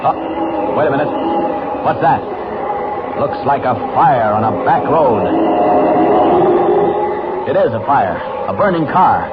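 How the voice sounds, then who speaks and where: An actor playing an earnest, decisive superhero in a 1940s radio serial, speaking in urgent, clipped, emphatic phrases. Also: male voice, mid-range voice, lightly acted. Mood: searching, alert. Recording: muffled, very noisy vintage radio recording.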